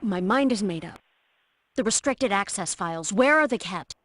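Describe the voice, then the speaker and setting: A woman's voice: mid-range voice, animated, normal speed, fairly bright tone, no room sound. A woman playing a determined female agent infiltrating enemy systems in a scripted video game. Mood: commanding. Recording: soundboard clips with light noise, clicks.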